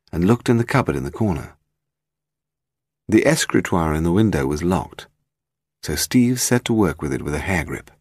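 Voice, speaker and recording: A man with a British accent and a husky voice, reading scripted fiction as an audiobook narrator. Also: low voice, no echo, clean studio sound.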